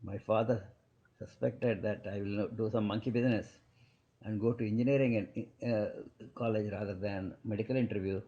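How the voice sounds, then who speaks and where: An older man from India with an Indian accent, a doctor whose first language is Kannada, telling his life story in a halting, digressive way. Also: on the low side, fairly even intonation, dark tone. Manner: halting, digressive, many restarts. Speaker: medical doctor guest. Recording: dull lo-fi recording.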